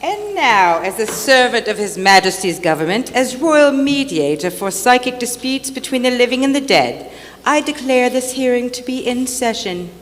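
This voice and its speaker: A pompous and courteous government mediator, played by a woman, formal and officious in old-time radio style. The voice is fairly high and swings widely in pitch. It echoes in a hall with faint noise behind. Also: very animated. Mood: solemn, officious. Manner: formal, officious, measured wording.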